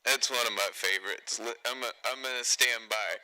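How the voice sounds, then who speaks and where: Clear background noise sits behind a man with a middle-pitched voice. He talks casually like a radio operator among regulars, joking and gently mocking as he goes. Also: fairly quick. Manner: joking, mocking, mimics others. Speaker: CB radio operator on a live feed. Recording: noisy live radio feed, clear hiss.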